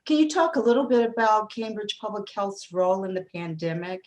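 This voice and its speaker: Woman, moderator, brisk questions, video call. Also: mid-range voice, fairly even intonation, a little mellow. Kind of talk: virtual panel moderating.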